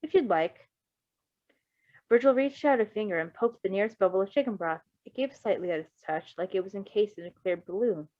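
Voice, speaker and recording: A woman's voice: mid-range voice, fast talker, few pauses, darkish tone, dry recording. Woman narrating fan fic casually, kinda dull lo-fi home audio. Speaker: fan fiction reader. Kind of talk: amateur story narration with casual commentary.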